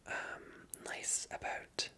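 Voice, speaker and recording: An ASMR creator speaking in a soft whisper, chatting casually and rambling along as they address viewers directly. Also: extremely slow. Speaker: ASMR video creator and storyteller.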